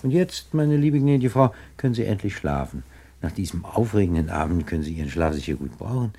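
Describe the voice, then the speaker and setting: A man playing a suave, smug gentleman crook with oily, mock-courteous politeness in a 1960s German radio play. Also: deep voice, big pitch swings, fairly quick, breathy edge, heavily acted. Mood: oily courtesy.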